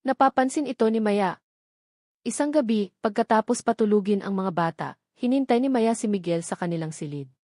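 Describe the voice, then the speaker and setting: A woman's voice: mid-range voice, expressive, brisk, darkish tone, no echo. Filipino woman narrating a story, dry sound. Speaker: audio drama narrator.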